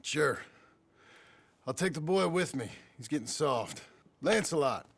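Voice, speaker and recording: An actor playing a gruff, weary American outlaw turned ranch hand, speaking tersely in plain rural words with a gravelly voice in a game drama. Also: male voice, a little high, expressive, measured pace, full character voice. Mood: wry. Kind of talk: naturalistic video game drama acting.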